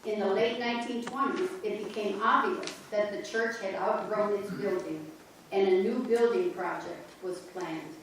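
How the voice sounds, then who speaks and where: A woman narrating a church history in an amateur reader's theater, with steady storytelling. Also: medium pitch, normal speed, slight background noise. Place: church sanctuary. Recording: echoey church from far off.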